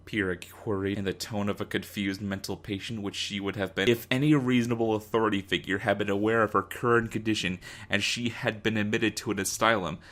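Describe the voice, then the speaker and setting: A man's voice: on the low side, fairly even intonation, a little fast, hardly any pauses, a bit bright. A man reading a story aloud as a dramatic narrator, in a mock-serious, theatrical manner. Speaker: narrator of a fan fiction reading.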